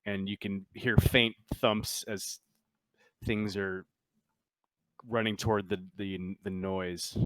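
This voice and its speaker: A man acts as game master for a tabletop roleplaying session. His voice sits on the low side and is smooth and clear, in a dry recording. He talks casually, with some hesitation as he works through rules and scenes. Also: flat delivery, takes it slowly, pauses often, a bit bright, no echo.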